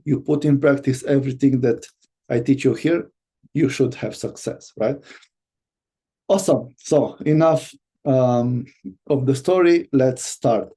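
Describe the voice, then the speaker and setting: Romanian guy teaching his online course, slow and halting, really dark tone, lots of restarts. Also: medium pitch, husky. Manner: halting, many fillers and restarts, laughs lightly. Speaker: business coach.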